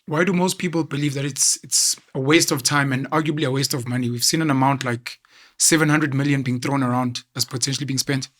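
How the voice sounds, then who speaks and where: A man from South Africa hosting an interview and asking measured, probing questions. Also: medium pitch, fairly even intonation, fairly bright tone. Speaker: interviewer host. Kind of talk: interview conversation.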